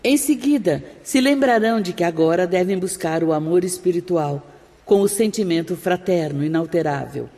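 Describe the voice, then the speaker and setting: An actor playing a solemn, stern spirit instructor, preaching formally and deliberately in a radio drama. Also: medium pitch, lively intonation, warm tone. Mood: grave, instructive. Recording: long reverb, music behind.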